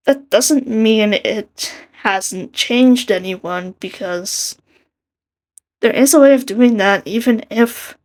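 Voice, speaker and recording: A soft-spoken young woman with a quiet voice, giving earnest casual commentary and laughing between thoughts. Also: a little high. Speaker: art commentary video maker. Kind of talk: casual commentary over a speedpaint.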